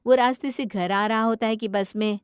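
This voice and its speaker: A woman's voice: fairly high pitch, fairly even intonation, normal speed, smooth, dry recording, clean studio sound. A woman storyteller giving dramatic narration for a cartoon story.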